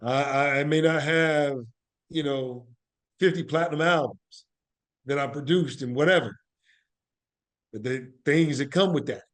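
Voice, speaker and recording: A man's voice: fairly high pitch, unhurried, pauses often. A man giving reflective interview answers as a guest, speaking earnestly and motivationally, repeating phrases for emphasis. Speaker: podcast guest, actor and playwright.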